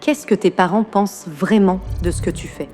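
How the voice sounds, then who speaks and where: A woman's voice: medium pitch, moderate pace, darkish tone, faint music behind, natural voice. A synthetic woman's voice playing a cold female intelligence-agency AI interrogator, formal and commanding, with a slight British accent. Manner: formal, clipped, commanding announcements.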